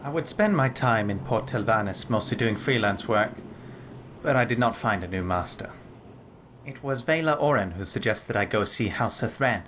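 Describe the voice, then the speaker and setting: A man voicing a composed, scholarly lizard-folk lawman and spellcaster in a game, speaking formally and in measured, articulate phrases. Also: fairly low pitch, animated, a bit bright, a little hiss or hum. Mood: reflective. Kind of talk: scripted game character dialogue.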